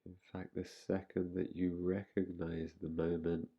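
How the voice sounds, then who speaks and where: Male meditation guide, deep creaky voice, unhurried phrasing with long pauses, slightly dull recording. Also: flat delivery.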